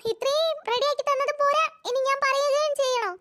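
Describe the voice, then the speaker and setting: A performer voicing a teasing, sassy young woman in a cartoon, with a pitched-up voice. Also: steady tone, brisk, pushed and bright, no room sound, clean studio sound. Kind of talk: cartoon voice acting.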